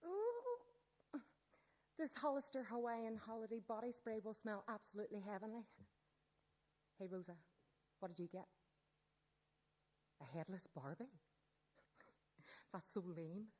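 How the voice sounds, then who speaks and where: A bossy teenage mean girl in a radio play. The voice is high and softly mellow in tone, but the delivery is scornful, gushing and theatrical, full of snobbery. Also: animated, unhurried, pauses often.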